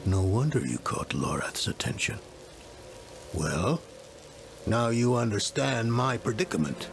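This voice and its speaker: An actor playing a weary but proud old demon-slaying lord in a video game, grave and dramatic, with a gravelly voice. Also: male voice, medium pitch. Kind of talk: video game cutscene acting.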